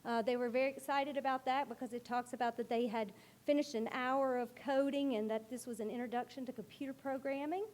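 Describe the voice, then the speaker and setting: A woman school librarian giving a presentation to a board meeting, with a loud, carrying voice and an earnest, explanatory manner. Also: high voice, steady tone, brisk.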